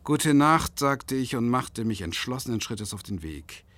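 An actor playing a reserved, frosty first-person narrator in a radio drama, telling his story wryly in a husky voice. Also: mid-range voice, expressive, a little slow, fairly bright tone, no echo. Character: embarrassed, frosty male narrator fleeing an admirer.

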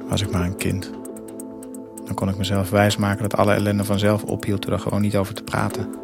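A man's voice: lowish voice, monotone, fairly quick, gravelly. An actor playing a blunt, sardonic young husband and father who narrates a radio drama. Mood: wry narration. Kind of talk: first-person radio drama narration and dialogue. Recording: radio drama mixed with prominent music. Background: music.

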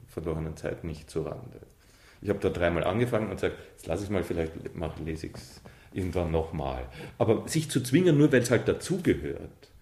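Mellow, lowish man in echoey room. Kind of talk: casual opinionated interview talk.

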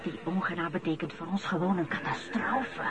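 A Flemish actress playing a disgruntled, dryly scornful office colleague and confidante in a radio drama. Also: female voice, lowish voice, a little fast, close to an everyday voice. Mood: concerned.

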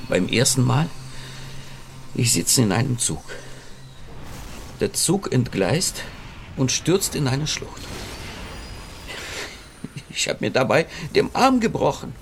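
A man's voice: mid-range voice, sing-song, slow, gravelly, pauses often, slight background noise, full character voice. An actor playing an agitated, insistent patient who is convinced that he is doomed, in a radio drama. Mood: haunted, confessing. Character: agitated, stocky man convinced death pursues him. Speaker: therapy patient. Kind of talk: German radio drama acting.

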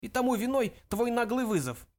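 A scornful ancient ghost of a great-grandfather, acted out by a male storyteller, creaky and high in pitch. He scolds sternly and pompously, like a grumpy storybook elder. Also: sing-song, brisk, full character voice. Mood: indignant.

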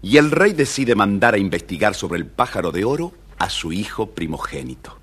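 A man with a husky voice narrating a children's fairy tale dramatically, as a scripted storyteller. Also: on the low side, very animated, normal speed, fairly bright tone, soft background music.

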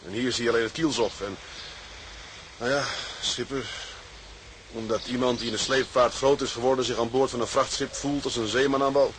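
A man's voice: medium pitch, fairly even intonation, brisk, fairly bright tone, slight background noise, lightly acted. An actor playing a young boatswain in a radio drama, respectful to his superior, hesitant but candid. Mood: frank, wistful. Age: young adult. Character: young, frank, respectful boatswain from the towing trade.

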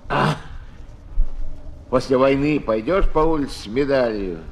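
A man's voice: medium pitch, measured pace, slight background noise, natural voice. A man playing a chatty, good-natured army field cook in a theatrical, folksy children's audio drama with song. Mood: wistful chatty. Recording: 1970s flexi-disc. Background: loud music.

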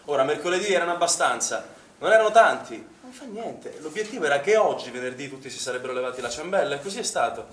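A man's voice: fairly high pitch, animated, fast talker, fairly bright tone. An actor playing a defensive, irritable male swimming instructor in a naturalistic stage drama, agitated and blunt in manner. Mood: defensive, annoyed. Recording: echoey, distant stage recording with noise.

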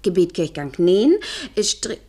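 A demure young lady with a slightly low voice. She is obedient and careful in her wording, reciting deferentially in 1970s German radio play style. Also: expressive, a little slow, a crowd in the background. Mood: dutiful, demure. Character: demure young woman, obedient but bored underneath.

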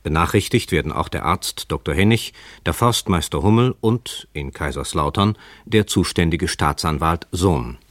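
A man reading scripted narration for a crime drama in a measured, sober and precise manner. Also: deep voice, animated, dry recording.

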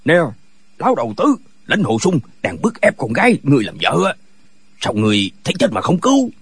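A man's voice: mid-range voice, huge pitch swings, fairly quick, breathy edge, no room sound, heavily acted. A man playing a brash, nosy, quarrelsome martial-arts fellow who needles others insistently in a dramatized audiobook. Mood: calling out. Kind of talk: dramatized wuxia audiobook dialogue.

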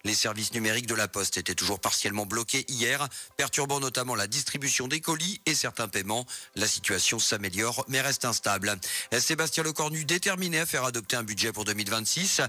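A man's voice: medium pitch, animated, fairly quick, pushed and bright. A man with a French accent reading a radio news bulletin. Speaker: news reader.

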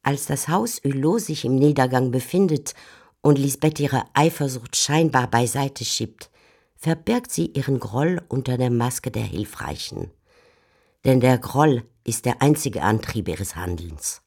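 A commentator with a medium-pitched voice reads scripted literary commentary. The delivery is that of an explanatory radio essay. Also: expressive, unhurried, creaky, darkish tone, breathy edge.

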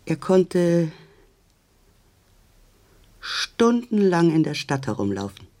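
An actor playing a pensive man who recalls someone distant, reflectively and with pauses, in a radio drama. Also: fairly high pitch, big pitch swings, a little mellow, full character voice.

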